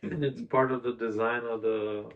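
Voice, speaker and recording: A man's voice: medium pitch, fairly even intonation. A man from Bulgaria with a Bulgarian accent, earnestly telling stories in a casual conversation as a podcast host. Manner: earnest, storytelling, halting restarts. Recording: dull lo-fi sound.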